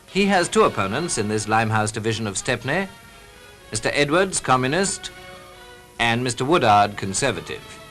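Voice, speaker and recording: A man's voice: medium pitch, big pitch swings, moderate pace, fairly bright tone. A British man reading scripted newsreel commentary in the style of the 1940s, as a narrator. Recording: vintage 1940s newsreel soundtrack with music. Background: music.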